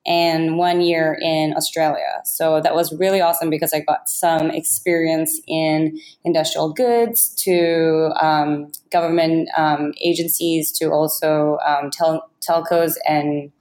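Woman from Malaysia, startup founder, casual interview answers, lower voice, roomy echo. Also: level tone, a little slow, hardly any pauses, a little mellow. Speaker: startup founder, podcast guest. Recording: echoey, roomy sound.

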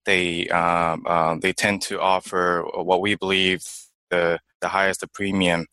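A young man from China, guest in an interview, answering in a halting way with many fillers and restarts. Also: deep voice, little pitch movement, sharp tone, clear, resonant tone, no room sound. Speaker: investment manager guest.

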